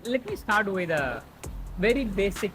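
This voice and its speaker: A woman's voice: medium pitch, big pitch swings, normal speed. A woman hosting a podcast interview, asking questions and answering with short acknowledgements. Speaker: podcast host. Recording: music in the background.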